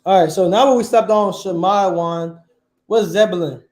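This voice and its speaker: High-voiced man quizzing a class. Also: normal speed. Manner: quizzing, questioning; relaxed slang. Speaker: teacher. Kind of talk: Bible class teaching. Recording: echoey room.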